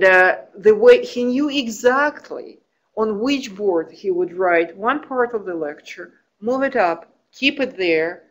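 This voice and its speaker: A woman whose first language is Russian, giving an academic conference talk, pausing often and restarting phrases. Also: mid-range voice, rough recording. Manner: thoughtful, with frequent restarts and fillers. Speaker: academic presenter. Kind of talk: conference presentation.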